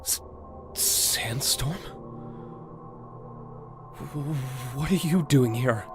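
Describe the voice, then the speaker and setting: A man voicing a bitter, vengeful warrior cat who stammers and gasps in anguish, in an animated fan drama. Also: mid-range voice, very slow. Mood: shaken, frantic. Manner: breathy gasps, stammering, swings into shouting. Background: dramatic background music.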